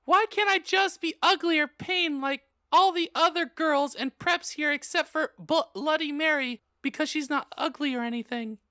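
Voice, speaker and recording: A man's voice: extremely high pitch, normal speed, hardly any pauses, bright, forceful tone, no room sound, clean studio sound, pitched above the performer's own voice. A man voicing a whiny, melodramatic teenage goth girl in a comic audiobook reading. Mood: whiny, self-pitying. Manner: whiny, overdramatic.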